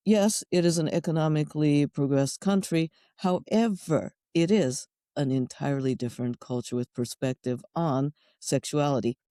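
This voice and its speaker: A woman's voice: low-pitched, moderate pace, hardly any pauses, warm tone, no room sound, clean studio sound. A middle-aged woman from Japan with a Japanese accent, speaking as an interview guest with wry, thoughtful, list-like phrasing. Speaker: guest sexologist and educator. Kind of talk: conversational interview answers.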